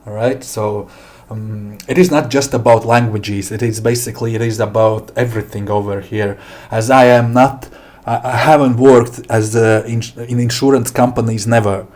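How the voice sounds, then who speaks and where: A middle-aged man from Latvia with a Latvian accent and non-native English, giving an informal product walkthrough with deliberate, hesitant phrasing. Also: mid-range voice, steady tone, a little mellow. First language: not English. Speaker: software developer presenting his product. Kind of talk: informal screen demo walkthrough.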